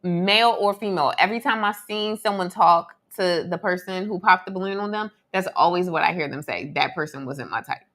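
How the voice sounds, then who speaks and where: A woman's voice: lowish voice, fairly quick, hardly any pauses, a bit bright. A woman in her twenties co-hosting a podcast, blunt and emphatic in her opinions. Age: 20s. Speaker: podcast co-host. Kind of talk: casual commentary chat.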